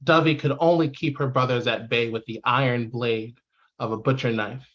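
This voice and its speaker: Male reader for poetry, medium-pitched and measured, on a somewhat dull lo-fi recording. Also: fairly even intonation, a bit bright. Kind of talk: poetry reading. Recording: dull, lo-fi audio.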